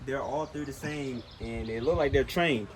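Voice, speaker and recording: A man chatting casually with friends in a relaxed, matter-of-fact way, outdoors. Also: medium pitch, moderate pace, a loud crowd. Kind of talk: casual chat.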